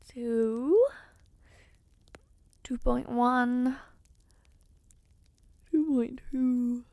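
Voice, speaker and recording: A woman's voice: high-pitched, gravelly, no room sound, full character voice. Woman voicing a spirited young noble knight. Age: young adult. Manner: teasing, chatty, impatient. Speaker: audio roleplay character. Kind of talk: audio roleplay.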